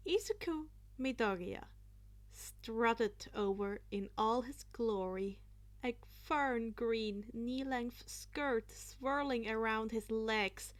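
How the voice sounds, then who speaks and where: A woman narrating fan fiction in an expressive storytelling style. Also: fairly high pitch, slow pace.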